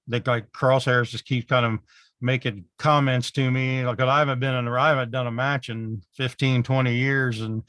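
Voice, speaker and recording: A man's voice: mid-range voice, little pitch movement, normal speed, a bit bright, clear, resonant tone, no room sound. A man in his forties, a wrestling promoter, chatting in an easygoing, agreeable way on a podcast. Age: 40s. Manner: easygoing, agreeable, chuckles often. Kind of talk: casual podcast chat.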